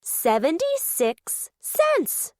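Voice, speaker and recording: Casting: female reader playing a helpful, eager storybook go-getter, high and lively, earnest delivery. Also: very slow, no room sound, exaggerated voice. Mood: excited, hopeful.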